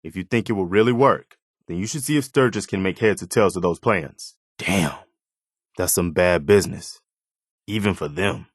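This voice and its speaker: A man's voice: low-pitched, normal speed, dry recording, clean studio sound. An American man voicing an earnest, loyal, plain-spoken militia officer in a post-apocalyptic video game. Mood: thoughtful. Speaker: video game companion character. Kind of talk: scripted video game dialogue.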